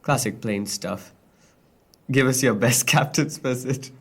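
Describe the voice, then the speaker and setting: A man's voice: medium pitch, a little slow, breathy edge. Playful young man hosting a podcast. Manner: playful, laughs often. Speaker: podcast host. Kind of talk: casual podcast chat.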